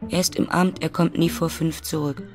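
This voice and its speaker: A woman's voice: sits low, flat delivery, moderate pace, close to an everyday voice. Radio play role: woman as timid widowed landlady, about thirty, simple, deferential, faint music behind. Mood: shy, plain.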